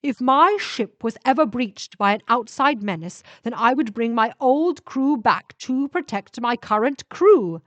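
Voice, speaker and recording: A woman voicing a needy, menacing male sentient spaceship in an audio story, speaking in slow, emphatic, drawn-out phrases. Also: on the high side, lively intonation, dry recording, voice pushed up. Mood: defensive.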